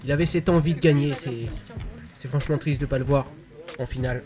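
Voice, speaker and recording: A man's voice: mid-range voice, steady tone, a little fast. A man reacting to a poker tournament as a live commentator, emphatic and repeating himself. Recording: music playing over the commentary. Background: music.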